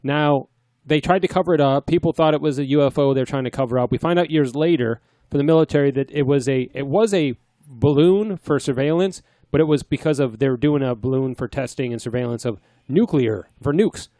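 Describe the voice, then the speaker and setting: A man's voice: mid-range voice, fairly quick, hardly any pauses, dark tone, no echo. A man co-hosting a casual podcast chat, joking around and rambling through his stories. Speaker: podcast co-host. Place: small home studio room.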